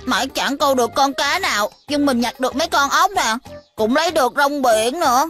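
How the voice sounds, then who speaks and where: Placid, high-voiced cartoon boy. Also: fairly quick, fairly bright tone. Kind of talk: dubbed children's cartoon acting. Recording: faint music behind the voice.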